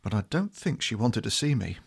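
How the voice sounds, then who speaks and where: A man's voice: medium pitch, very animated, a little fast, vocal fry, slightly rough, exaggerated voice. A man playing a chatty, teasing, restless shop worker in an audio drama comedy, with a wry manner. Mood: gossipy.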